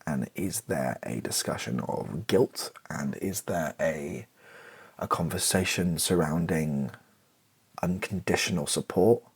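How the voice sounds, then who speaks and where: A British man improvising an ASMR writing session, thinking aloud in a rambling, halting, self-deprecating way. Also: rumbling low, husky. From Britain. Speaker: YouTuber, ASMR video creator. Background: computer keyboard typing and clicks.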